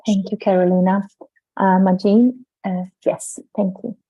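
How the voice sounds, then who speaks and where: A woman moderating an online gathering over a video call, speaking in a halting way as she searches for words. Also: mid-range voice, steady tone, dark tone, husky, dull audio.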